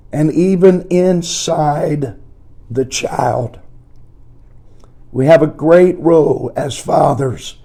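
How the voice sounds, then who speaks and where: A male pastor speaks very slowly, with a soft, dark tone and a scratchy, hoarse voice. His pitch sits a little high for a man. He teaches deliberately, repeating key phrases for emphasis. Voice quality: scratchy, weak voice. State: hoarse, strained from preaching. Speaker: pastor hosting a podcast. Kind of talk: slow teaching talk on family life.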